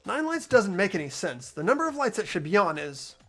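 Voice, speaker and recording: A performer voicing an earnest, anxious young male student who reasons out loud, in a fan dub of a video game. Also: medium pitch, sing-song, fairly quick, soft background music. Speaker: main protagonist.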